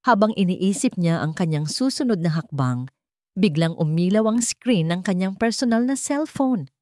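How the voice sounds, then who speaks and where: A dry, close-sounding recording of a woman storyteller with a Filipino accent. Her voice sits fairly low as she delivers scripted dramatic fiction. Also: animated, normal speed, dark tone, no room sound.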